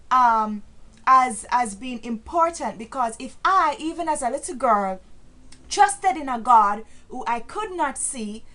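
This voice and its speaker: Earnest Jamaican woman, slightly high voice. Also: a bit bright. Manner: earnest, many fillers and restarts, grows emphatic and preachy. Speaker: vlogger. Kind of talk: casual talk to camera.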